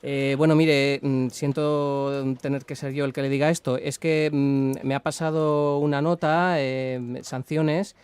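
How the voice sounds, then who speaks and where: A man's voice: medium pitch, little pitch movement, measured pace, hardly any pauses, darkish tone, smooth, no room sound, close to an everyday voice. A man playing a polite, apologetic traffic official on a prank call, with an accent from Spain and a hesitant, formal manner. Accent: Spanish from Spain. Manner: hesitant, courteous, official-sounding. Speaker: radio prank caller. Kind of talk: improvised prank phone call in a formal register.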